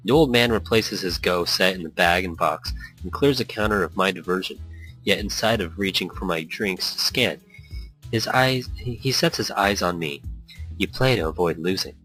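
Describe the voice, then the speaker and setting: A man's voice: sits low, normal speed, pushed and bright, poor audio. A man hosting a let's play, reading visual novel text aloud and drifting into rambling, casual commentary. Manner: rambling asides. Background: background music.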